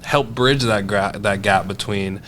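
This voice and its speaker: A man's voice: on the low side, measured pace, fairly bright tone, a little hiss or hum. A man co-hosting a podcast, speaking in an earnest, plain-spoken way. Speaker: podcast co-host. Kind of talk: casual podcast conversation.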